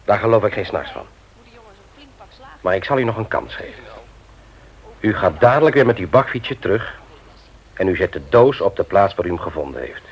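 A man's voice: on the low side, expressive, moderate pace, long pauses, natural voice. An actor playing a stern, moralizing man who lectures emphatically in a radio play. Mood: lecturing, firm. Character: stern, moralizing man confronting a petty thief. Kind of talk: Dutch radio play acting. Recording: muffled vintage radio recording.

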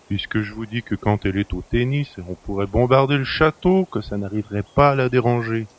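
A man's voice: medium pitch, brisk, natural voice. A man playing a cheeky, familiar manservant in an audio drama, chatty and mocking. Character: cheeky, familiar manservant who helps himself to master's luxuries. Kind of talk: French audio drama acting.

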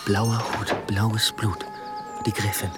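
An actor playing a sardonic, driven police inspector in a radio play, speaking in dry, clipped lines. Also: male voice, lowish voice, flat delivery, takes it slowly. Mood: tense, watchful. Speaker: police detective, lead character. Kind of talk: radio play dialogue and inner monologue. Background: music.

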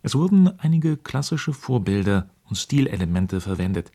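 Man, quotation reader, low pitch, mellow dark tone, slow recitation. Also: big pitch swings. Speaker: voice reading quotations. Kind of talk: scripted reading of quoted text.

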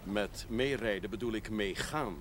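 An actor playing a wily, cheerful country priest with a measured, wry manner in a television period drama. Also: male voice, on the low side, a little hiss or hum, close to an everyday voice.

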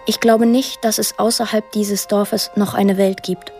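An actress voicing a lonely, imaginative eight-year-old girl in a radio drama monologue, plain, deliberate and earnest. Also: medium pitch, little pitch movement, darkish tone, faint music behind, close to an everyday voice. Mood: wistful, matter-of-fact.

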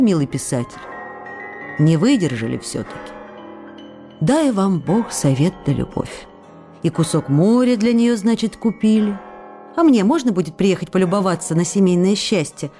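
A woman's voice: mid-range voice, sing-song, measured pace, warm tone. A woman playing an affectionate, restless actress who reads her love letters aloud in a coquettish, pleading, emotive way for a radio play. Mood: teasing, wounded. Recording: radio broadcast with music behind. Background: music.

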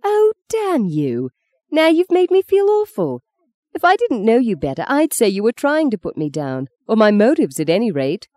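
A woman reading a story, voicing a sharp-tongued, defiant young woman who is a budding actress, in a cutting, exasperated manner. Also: on the low side, big pitch swings, normal speed, dry recording. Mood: anguished.

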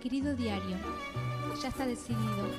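A woman voicing a teenage girl reading her diary in a radio drama, dreamy and hushed. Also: fairly high pitch, normal speed, over loud music, natural voice.

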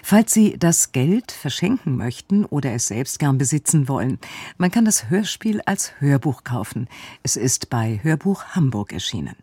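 Radio presenter, dark husky voice, scripted continuity announcement, very dry studio sound. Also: medium pitch, big pitch swings, normal speed, dry recording.

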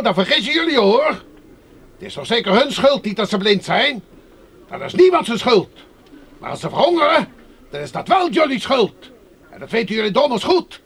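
An actor playing an angry, accusing agitator who rants coarsely in a radio drama. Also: male voice, high voice, fairly bright tone, breathy edge, heavily acted. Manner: ranting, accusing, coarse-mouthed. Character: angry sighted agitator shouting at the gate for the blind. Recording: noisy radio play recording.

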